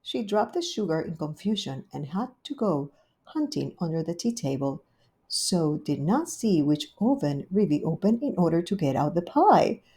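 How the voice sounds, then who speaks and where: A woman's voice: lowish voice, sing-song, moderate pace, warm tone, breathy edge. Woman reading a storybook aloud. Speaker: storybook reader. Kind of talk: children's story read-aloud.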